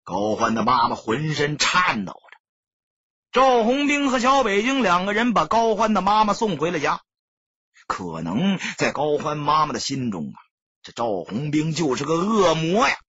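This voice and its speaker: Male audiobook narrator, very husky, lively pitch, dramatic scripted read, close dry slightly muffled sound. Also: normal speed, no echo.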